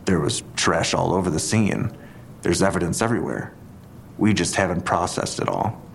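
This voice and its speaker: Very deep-voiced analytical male detective. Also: normal speed, vocal fry, a little hiss or hum. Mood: measured, speculative. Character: deliberate, analytical male police detective weighing theories. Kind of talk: audio drama acting.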